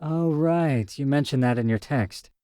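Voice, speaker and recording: A man voicing a friendly, lively young woman who talks in a chatty, slightly hurried way. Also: medium pitch, clear, resonant tone, no echo, studio quality, pitched above the performer's own voice, close to an everyday voice. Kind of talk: audio drama short story reading.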